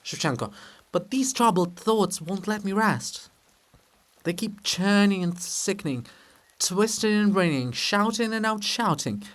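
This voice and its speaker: A man voicing the statue of an anguished old male poet in a dramatic reading, mournful and slow. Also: sits high, heavily acted.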